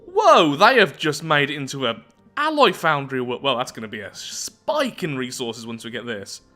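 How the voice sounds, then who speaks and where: Bright-voiced man commentating a game. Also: medium pitch, sing-song, moderate pace. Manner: talks to himself, reacts aloud to events. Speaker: gaming video host. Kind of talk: game playthrough commentary mixing read-aloud event text with casual asides. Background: music.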